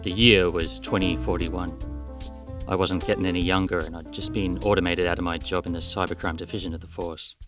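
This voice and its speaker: An actor playing a world-weary, dryly cynical detective nearing seventy in a radio play, with a wry, deadpan delivery. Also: male voice, sits low, fairly quick, over music, lightly acted.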